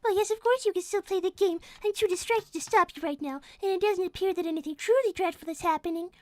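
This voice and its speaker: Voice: very high-pitched, fast. A woman voicing a prim, polite little girl rabbit who speaks in a proper, formal way and loves gossip. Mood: gossipy. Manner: very proper, formal and dainty speech.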